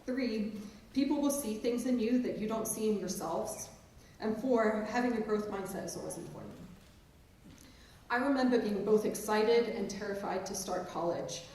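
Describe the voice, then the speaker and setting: Woman, 40s, english-new jersey accent, chatty self-deprecating speech, booming hall reverb, mic set far off. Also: moderate pace, dark tone, a little hiss or hum. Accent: mixed English and New Jersey, with some Maryland Eastern Shore. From England, raised in New Jersey. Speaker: professor giving a keynote address. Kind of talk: speech to an audience. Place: large auditorium stage. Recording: very echoey hall, distant mic.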